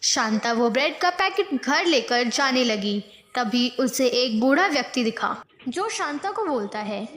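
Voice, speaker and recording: A woman's voice: sits high, animated, a little slow, a bit bright. A woman narrating a devotional moral story from a script. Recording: echoey, roomy voice.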